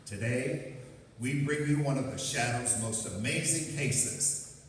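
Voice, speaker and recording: A man's voice: mid-range voice, slow pace, a little hiss or hum, lightly acted. A man playing a dramatic, portentous announcer of a 1940s radio mystery show. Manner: theatrical, portentous. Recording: echoey hall from far off, music behind.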